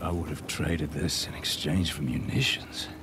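A man's voice: extremely low pitch, little pitch movement, normal speed, background noise. A man playing a smug, mocking middle-aged mercenary villain in a video game. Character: smug, taunting battered male mercenary villain. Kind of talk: cinematic video game acting.

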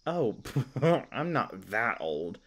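A man's voice: fairly low pitch, sing-song, very slow, exaggerated voice. A man playing a kindly, flustered, youthful elf park ranger in a fantasy audio roleplay, stammering and rambling apologetically. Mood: chatty, sheepish. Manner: rambling, stammering, apologetic, self-deprecating humor. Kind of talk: fantasy audio roleplay, casual conversational acting.

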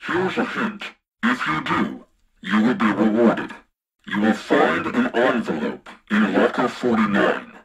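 An actor playing a menacing, taunting spy-ring boss in a video game, with a husky, gravelly voice. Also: male voice, fairly low pitch, lively intonation, unhurried, a bit bright, full character voice. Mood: commanding. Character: sinister male spy-ring boss giving threatening orders. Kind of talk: scripted video game voice acting.

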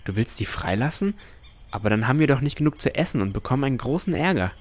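A man's voice: mid-range voice, lively intonation, fast, lightly acted. A man playing a cautious, earnest boy who narrates his own fantasy adventure in an audio drama. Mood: worried, frustrated. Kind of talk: first-person scripted narration and dialogue.

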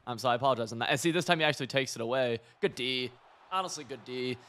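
A hoarse young man giving rambling, excitable live commentary over a football video game, mixing coaching tips with side stories. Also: mid-range voice, rapid-fire. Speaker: gaming video creator.

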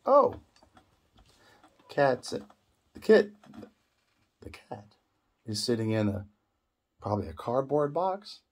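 A man's voice: mid-range voice, big pitch swings, takes it slowly, long pauses. A middle-aged man guiding young children through reading, explaining in short simple phrases and prompting with questions. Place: small indoor room at a table.

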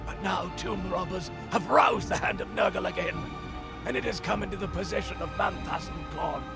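An actor playing an old, grave and urgent male seer in a fantasy audio drama, with a raspy voice and a portentous, archaic delivery. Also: high-pitched, normal speed, music in the background. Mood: ominous. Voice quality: raspy, creaky.

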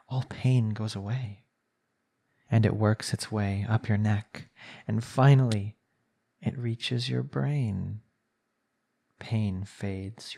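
Man, ASMR villain roleplay, smug and soothing, very slow hypnotic delivery, gravelly creak. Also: lowish voice, darkish tone. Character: smug, coaxing young male villain hypnotist.

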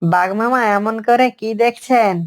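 A woman's voice: on the high side, normal speed, close to an everyday voice. A woman voicing a cocky, scheming fox in a cartoon story. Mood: guarded. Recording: music under the voice. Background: background music.

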